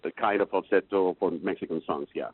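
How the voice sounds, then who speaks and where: A man with a baritone voice, a singing student talking in a halting way that restarts words often. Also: husky. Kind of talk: casual chat in a lesson. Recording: tinny call line, faint hiss.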